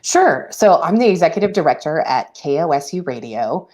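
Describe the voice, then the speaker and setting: A woman in her forties from Oklahoma with a strikingly deep voice, answering interview questions. She speaks plainly and steadily and often pauses on an um. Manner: plain-spoken, steady, frequent ums. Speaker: radio station executive director, guest.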